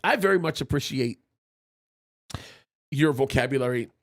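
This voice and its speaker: A man hosting a live stream, chatting casually and reading out viewer messages, animated and quick to laugh, often restarting his sentences. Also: mid-range voice. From Egypt. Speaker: host of a live stream show.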